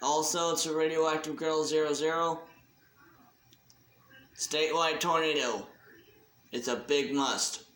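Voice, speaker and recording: A man with a slightly high voice makes a casual, off-the-cuff announcement like a hobby video creator. He speaks slowly, in a halting and deliberate way. The recording has a noticeable room echo. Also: steady tone, fairly bright tone. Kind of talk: casual unscripted shout-out announcement.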